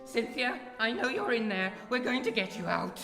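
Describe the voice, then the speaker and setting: A woman's voice: on the high side, normal speed, creaky. A woman playing a firm, anxious professor confronting a demon in a radio drama. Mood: stern. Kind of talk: live radio drama acting. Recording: echoey hall, music behind.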